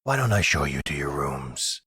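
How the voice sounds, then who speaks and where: A man voicing a calm, fatherly werewolf pack leader and researcher in a roleplay audio drama, with a measured, formal, soothing way of guiding. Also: extremely low pitch, husky, dry recording, studio quality, full character voice.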